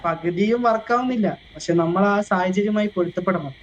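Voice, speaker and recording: Fast-talking man, north Kerala accent. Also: high-pitched, lo-fi sound. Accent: north Kerala Malayalam. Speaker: roleplay game player. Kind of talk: casual in-game chat, giving advice. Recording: noisy game voice chat.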